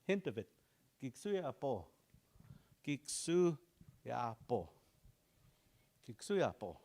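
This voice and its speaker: A man teaching an online language class, drilling words over and over in a relaxed, informal way and chuckling often. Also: mid-range voice, drawn-out words.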